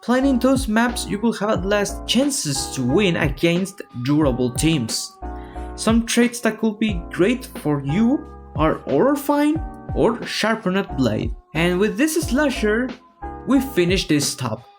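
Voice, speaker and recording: A gaming creator whose first language is Spanish talks through a character ranking, stopping and restarting phrases as the thoughts come. The pitch sits mid-range but swings up and down a lot. Soft music plays quietly underneath. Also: very animated, slow. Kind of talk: ranking commentary on a game.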